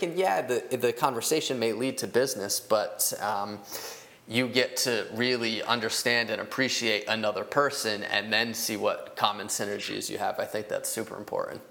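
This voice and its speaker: A man's voice: mid-range voice, steady tone, normal speed, creaky, a bit bright. A man hosting a podcast, chatting in an agreeable, conversational way. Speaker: podcast host. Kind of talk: casual interview chat.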